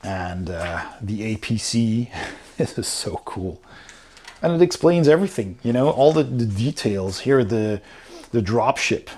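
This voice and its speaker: A man's voice: lowish voice, lively intonation, measured pace, darkish tone, slight background noise. A man giving a casual, unscripted review as host, with an informal, enthusiastic way of talking. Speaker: board game reviewer, host.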